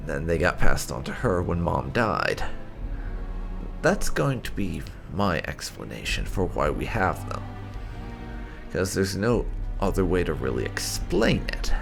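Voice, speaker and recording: A man's voice: sits low, very animated, measured pace, vocal fry, over music. A man hosting a gaming video, giving casual let's play commentary in a wry, chatty way.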